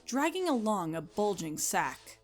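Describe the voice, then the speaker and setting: A woman reading scripted story narration as the narrator, in an expressive storytelling manner. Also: mid-range voice, unhurried, faint music behind.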